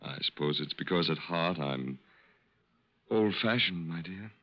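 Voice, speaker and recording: An actor playing a courtly, sentimental middle-aged con artist who poses as a Major, in 1940s radio style. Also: male voice, low-pitched, animated, a little slow. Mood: courtly, wistful. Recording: muffled old-time radio recording.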